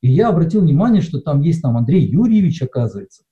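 A man's voice: a little high, very animated, fast. A middle-aged man from Russia preaching a sermon in a conversational way, with many hesitations. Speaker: pastor. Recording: dull lo-fi sound.

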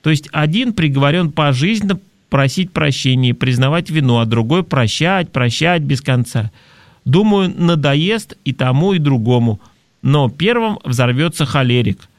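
Male talk host, slightly high-pitched, dry close sound, reflective and explanatory. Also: animated, normal speed, dry recording. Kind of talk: conversational advice talk, reading listener messages.

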